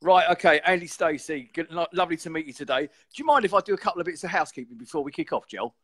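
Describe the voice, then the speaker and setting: Croaky, higher-voiced man hosting. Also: animated, rapid-fire, a bit bright. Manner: chatty, rambling with restarts. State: hoarse. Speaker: podcast host. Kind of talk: casual live stream chat.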